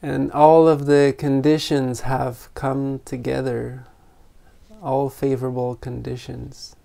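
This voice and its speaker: A meditation teacher of unclear sex guiding a group in gentle, spontaneous talk, unhurried, with long pauses between short phrases. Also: mid-range voice, little pitch movement, vocal fry. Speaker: meditation teacher leading an online retreat.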